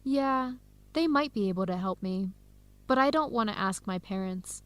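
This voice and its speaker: A woman's voice: medium pitch, expressive, moderate pace, a bit bright, natural voice. A woman playing a friendly young Ethiopian American grad student with an American accent in a radio drama, speaking in a conversational way. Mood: earnest. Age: young adult. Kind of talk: educational radio drama acting.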